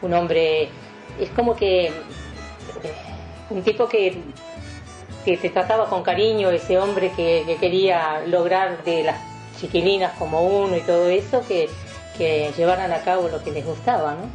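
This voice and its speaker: Older uruguayan lady, low voice, rambly halting radio interview memories, bit of music behind. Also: steady tone, darkish tone. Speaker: veteran radio announcer being interviewed.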